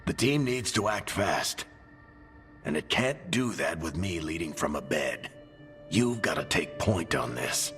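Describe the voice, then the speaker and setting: A man's voice: low-pitched, sing-song, a little slow, fairly bright tone, soft background music, full character voice. An actor playing a seasoned, wry team leader in a video game, talking in a colloquial, fatherly and encouraging way. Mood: urgent. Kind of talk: dramatic video game dialogue.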